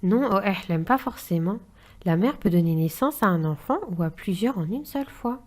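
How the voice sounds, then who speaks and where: A woman's voice: medium pitch, expressive, normal speed, a little mellow, lightly acted. A woman voicing a kind, patient female family member who explains things in a French children's cartoon. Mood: patient explaining.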